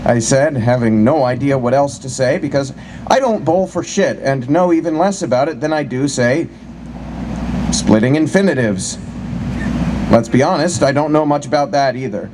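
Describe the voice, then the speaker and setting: A man's voice: mid-range voice, moderate pace. Guy reading a funny short story live, wry and colloquial, kinda noisy hum behind him. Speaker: live reader of short fiction. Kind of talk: dramatic reading of a comic first-person story. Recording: noisy live recording with steady ambient hum.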